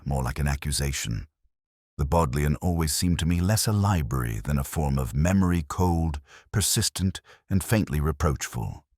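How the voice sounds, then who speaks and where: A man narrating a literary detective story in the first person, with a measured, reflective delivery of scripted prose. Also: rumbling low, dry recording, studio quality.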